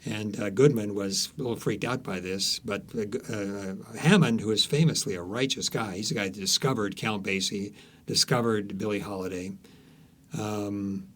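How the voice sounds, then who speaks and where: A man's voice: fairly low pitch, moderate pace, fairly bright tone. A man speaking as an author being interviewed, telling stories conversationally, searching for words and repeating some for emphasis.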